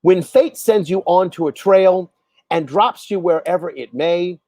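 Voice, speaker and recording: Male poetry reader, high mellow voice, deliberate and expressive storybook delivery, very dry close sound. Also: dry recording. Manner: measured, dramatic, deliberate storytelling. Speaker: poet reading own poem.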